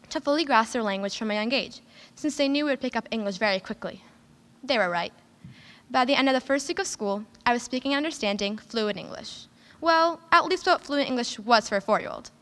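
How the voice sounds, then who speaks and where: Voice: medium pitch, pushed and bright. An early-teen student whose first language is Lebanese Arabic, giving an earnest, prepared speech at school with a few careful restarts. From United States. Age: early teens. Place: school hall. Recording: long hall reverb.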